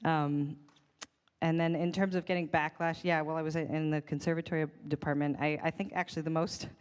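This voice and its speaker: A woman on a music panel talking through her conservatory experience, with halting phrasing. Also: sits low, little pitch movement, smooth, in a large hall. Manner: halting, laughs often. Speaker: panelist and performer. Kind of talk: panel discussion answers. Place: symposium hall.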